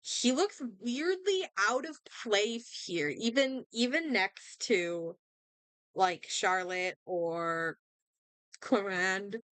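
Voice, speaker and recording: A woman's voice: medium pitch, very slow, fairly bright tone, clean tone, dry recording. A young woman with a sore throat, chatting casually and thinking aloud while playing a game. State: sore throat, losing her voice; hiccups. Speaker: gaming streamer.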